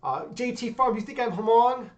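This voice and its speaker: A young man casually chatting with viewers on his livestream in a loose, excitable way, swearing freely and peppering his talk with "dude" and "man.". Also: extremely high pitch, normal speed, dull audio. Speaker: livestream host.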